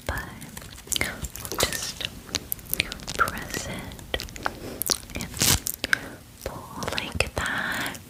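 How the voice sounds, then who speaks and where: A woman voicing a friendly, bubbly dragon in an ASMR spa roleplay, speaking in a breathy whisper with gentle, reassuring, chatty bestie talk. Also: low-quality recording. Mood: soothing, reassuring. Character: friendly, bubbly female dragon giving a soothing spa treatment.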